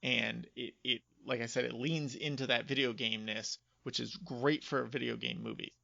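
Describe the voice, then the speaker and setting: Bright, gravelly man chatting on a podcast. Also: mid-range voice, steady tone, normal speed, dry recording, dull audio. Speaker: podcast co-host. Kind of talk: casual chat about video game movies.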